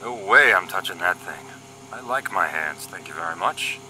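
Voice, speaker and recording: A man acts out a wisecracking young male agent in an audio drama. His voice sits fairly low but has a very sharp, forceful edge, and he banters flippantly over a faint hum. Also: animated, moderate pace, exaggerated voice, low-quality recording. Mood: breezy. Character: wisecracking, cocky young male paramilitary agent, teasing optimist. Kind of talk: scripted audio drama dialogue.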